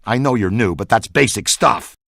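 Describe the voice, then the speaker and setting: An actor playing a seasoned, streetwise ghost hunter and squad mate in a video game. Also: male voice, fairly low pitch, moderate pace, creaky, a bit bright, no room sound, clean studio sound. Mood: coaching, wary. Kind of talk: video game character dialogue.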